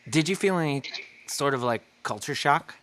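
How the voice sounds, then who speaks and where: A man's voice: a little high, lively intonation, normal speed, a bit bright, no room sound. A man from Florida hosting a podcast, chatting casually and rambling, stumbling over his words. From Florida, United States. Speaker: podcast host. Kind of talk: casual interview chat.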